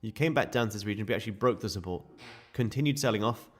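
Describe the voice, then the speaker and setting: A man with a sore, croaky throat, casually walking viewers through live trading charts. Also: fairly low pitch, quick pace. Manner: casual, chatty explaining to viewers. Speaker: day trading streamer.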